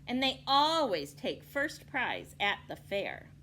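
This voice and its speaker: Eager little country girl, high and sweet; woman reader putting her on. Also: very animated, slow pace, bright, forceful tone, slight background noise, full character voice. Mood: proud. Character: cheerful young country girl in red.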